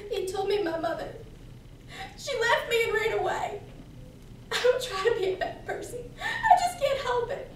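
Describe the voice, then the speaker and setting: A woman performing a dramatic monologue as a troubled, earnest fourteen-year-old runaway girl, with intense, theatrical storytelling. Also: sits high. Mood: confessing. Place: indoor competition room, distant camera. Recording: echoey room from far off, noisy.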